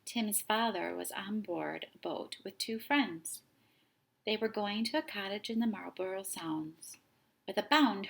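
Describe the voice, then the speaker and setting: A woman reading a children's picture book aloud, with dramatic, deliberate phrasing as a storytime host. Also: medium pitch, vocal fry. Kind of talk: expressive children's story narration.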